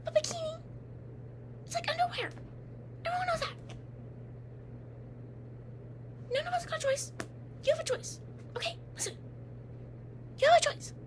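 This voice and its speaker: A young woman playing a haughty, jealous teen vampire girl in a comedic roleplay, blunt and sarcastic with whispered asides, recorded on a webcam in a dim bedroom. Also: very high-pitched. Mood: defensive. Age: young adult. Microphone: webcam at close range. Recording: noisy home webcam audio.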